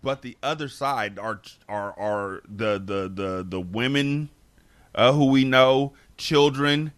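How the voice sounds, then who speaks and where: A man's voice: medium pitch, slow pace, a bit bright, clear, resonant tone. A man hosting a casual podcast discussion, talking in a reflective, earnest way with frequent restarts. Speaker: podcast host.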